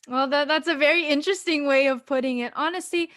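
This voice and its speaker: A woman's voice: sits high, quick pace. A young woman from the United States, a singer-songwriter answering interview questions in a friendly way, mixing with Tagalog. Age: young adult. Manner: bright and friendly, mixing English with learned Tagalog.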